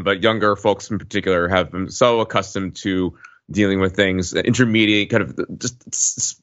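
A man's voice: deep voice, a bit bright. A man co-hosting a podcast over a video call in casual, wry conversation. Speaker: podcast co-host. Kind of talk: casual chat. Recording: slightly dull video-call sound.

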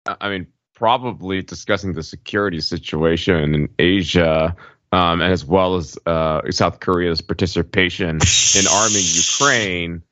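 Bright, forceful low-voiced American man. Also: fairly even intonation, unhurried. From Alabama, United States. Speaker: podcast panelist. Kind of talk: casual chat, anecdotal explaining. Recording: slightly dull podcast audio.

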